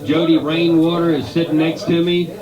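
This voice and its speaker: A man's voice: on the high side, steady tone, normal speed, low-quality recording. A man hosting a live radio event, welcoming and addressing listeners and guests. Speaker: radio host. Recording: live broadcast with clear crowd noise. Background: crowd chatter.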